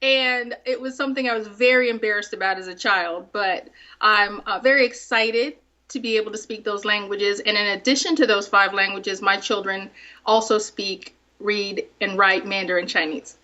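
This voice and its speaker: A woman's voice: mid-range voice, fairly even intonation, moderate pace, sharp tone. A woman from South Florida, a native French speaker, giving conversational answers as an interview guest, in lo-fi sound. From South Florida, multi-ethnic Caribbean family. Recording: dull, lo-fi sound.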